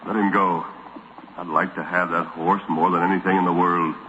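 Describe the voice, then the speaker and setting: Male actor as noble masked western hero, deep American voice, earnest declamatory delivery, muffled noisy vintage radio drama. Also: little pitch movement, normal speed, natural voice. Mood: admiring. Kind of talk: 1940s radio drama acting.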